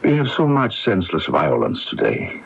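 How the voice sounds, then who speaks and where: An actor playing a genteel, puzzled older doctor in a radio mystery drama, measured and courtly, with a gravelly voice. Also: male voice, deep voice, huge pitch swings, full character voice. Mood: gentle, doubtful. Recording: dull, noisy lo-fi AM radio recording.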